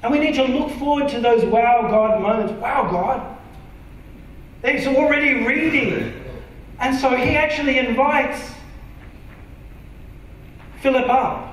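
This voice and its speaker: An Australian man preaching a sermon from a church stage, earnest and emphatic, sometimes dropping to a soft, intimate tone. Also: very high voice, slow, pauses often, a little hiss or hum. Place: large dark church auditorium stage. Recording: very echoey hall from far off.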